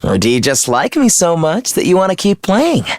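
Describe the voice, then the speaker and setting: Smug, taunting young villain, swooping pitch. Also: male voice, wildly swooping pitch, normal speed, a little mellow, no room sound, studio quality. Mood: mocking, condescending. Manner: mocking, condescending, theatrical. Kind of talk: video game character acting.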